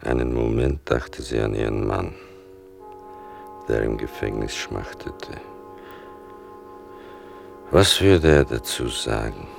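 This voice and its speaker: An actor playing a grown son who narrates his mother's past in a radio play, slowly and intimately, with a gravelly voice. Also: full character voice. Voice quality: very deep, gravelly. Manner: slow, deliberate, intimate. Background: music.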